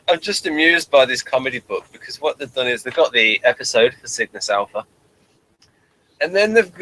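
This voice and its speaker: A man chatting casually as co-host of a review show, amused and halting in his delivery. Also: a little high, bright, forceful tone, rough recording. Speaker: podcast co-host reviewing TV episodes. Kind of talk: casual chat.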